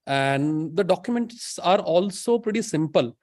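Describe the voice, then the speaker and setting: An Indian-accented man with a fairly high voice speaks as a panel expert. He explains emphatically, tagging points with a questioning "right?". The sound is very dry. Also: lively intonation, unhurried, darkish tone, no room sound. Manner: emphatic, often tags statements with "right?". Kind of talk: explanatory webinar panel talk.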